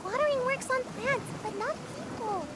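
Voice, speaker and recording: A woman voicing a small, childlike, curious fairy companion in a fantasy game, with a squeaky voice. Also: moderate pace, very noisy. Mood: chirpy, hurried. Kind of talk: animated fantasy game voice acting. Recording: music behind the voice.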